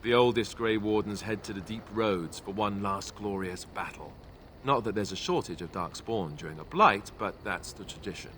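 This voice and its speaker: A man acts out a boyish young warrior from a fantasy video game. His voice sits low, with a wry, sardonic, chatty delivery. Clear background noise runs under the dialogue. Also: moderate pace, a bit bright, close to an everyday voice. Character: young male warrior, wry, boyish, self-deprecating comrade.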